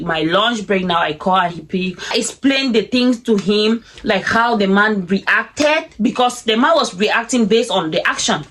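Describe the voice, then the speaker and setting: Casting: young Cameroonian woman, lively storyteller, laughs often, voice sits a bit high. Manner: animated, laughs often, acts out quoted speech. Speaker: vlogger telling a story. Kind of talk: casual storytime chat.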